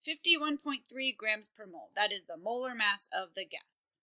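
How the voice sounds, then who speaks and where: A woman teaches chemistry in a recorded online class. She talks in a casual, chatty way with many fillers. Her voice is very bright, and she speaks close to a computer microphone. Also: medium pitch, animated, normal speed.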